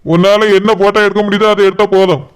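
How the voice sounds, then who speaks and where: A man's voice: sits high, steady tone, very fast, clean tone, lightly acted. A man playing a curt young bridegroom in a comic village cartoon, with a Kanyakumari Tamil accent.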